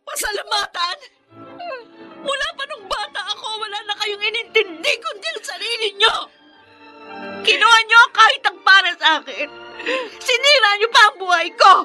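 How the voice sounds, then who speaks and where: A wronged young woman from a radio drama. Her voice is very high and bright, tearful and pleading, in a gentle but anguished temper. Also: lively intonation, moderate pace, soft background music, exaggerated voice. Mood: bitter, anguished. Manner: tearful, emotional delivery. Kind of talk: Filipino radio drama acting.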